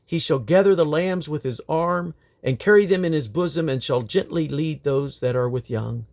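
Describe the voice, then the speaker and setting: A man reading aloud from the King James Bible in a solemn, declamatory way, with emphatic rises and falls. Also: fairly high pitch. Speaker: Bible reader.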